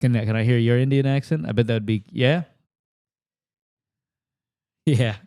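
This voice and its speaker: A man's voice: mid-range voice, normal speed, dark tone, no echo, clean studio sound. A man from the United States doing an improvised, crude, self-deprecating comedy rant into a handheld mic at a table in a house. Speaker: comedian contestant. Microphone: handheld microphone.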